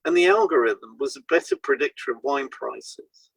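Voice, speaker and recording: A man co-hosting an educational podcast, reading scripted explanations in a measured, explanatory way. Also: medium pitch, animated, creaky, fairly bright tone, slightly rough, no echo, poor audio. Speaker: podcast co-host and hypnotherapist.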